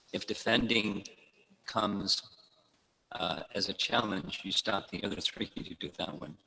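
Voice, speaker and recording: A man giving a devotional talk to a congregation, speaking in a measured way, repeating key phrases and quoting Sanskrit verses. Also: fairly low pitch, gravelly, pushed and bright. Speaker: spiritual teacher. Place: temple hall. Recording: long reverb in a hall.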